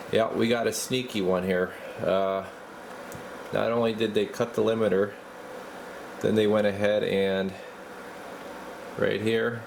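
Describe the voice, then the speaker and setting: A man giving a casual, folksy, slangy repair walkthrough as a radio technician. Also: on the low side. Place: indoor radio repair workshop. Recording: steady clear background noise.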